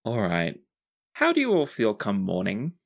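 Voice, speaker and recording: A man's voice: on the low side, wildly swooping pitch, measured pace, vocal fry. A man acting as game master, narrating a tabletop roleplaying game in a casual, conversational way.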